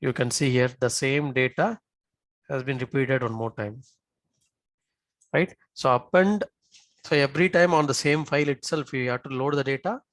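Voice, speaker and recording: A man giving a live software tutorial as an instructor, often checking in with short tag questions. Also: medium pitch, moderate pace, pauses often, no room sound.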